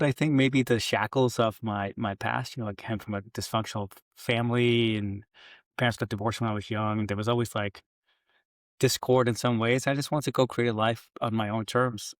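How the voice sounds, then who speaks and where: A man's voice: medium pitch, fairly even intonation, a little fast, dry recording, studio quality. A middle-aged man from Denmark speaking as a podcast guest in a casual, candid, informal and self-deprecating way. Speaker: podcast guest, business executive. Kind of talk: casual conversational interview.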